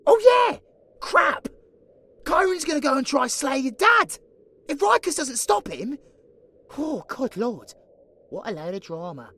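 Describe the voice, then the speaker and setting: A woman acting a chatty, anxious, excitable sidekick in a fantasy audio drama, casual and exasperated. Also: sits high, a little slow, pauses often, exaggerated voice.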